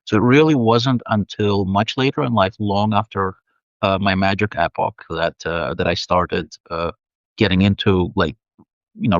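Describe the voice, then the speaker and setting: A man's voice: on the low side, no room sound. Russian-born guy chatting thoughtfully, lots of uhs, muffled dry call audio. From Soviet Union. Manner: thoughtful, many fillers and restarts. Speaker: guest. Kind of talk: casual interview chat. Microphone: video call.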